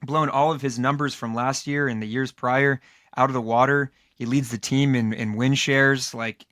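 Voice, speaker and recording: A man with a hoarse, worn voice co-hosts a casual sports podcast. He rambles and restarts, piling up fillers at a middling pitch. The recording is close and dry. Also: steady tone, moderate pace, fairly bright tone, no echo. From Los Angeles area, United States. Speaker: podcast co-host.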